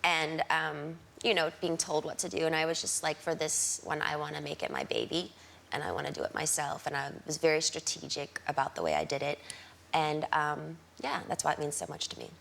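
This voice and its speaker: A woman talk show guest, chatting politely and softly about her life. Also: low voice, animated, brisk, gravelly, a little hiss or hum. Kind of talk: casual chat.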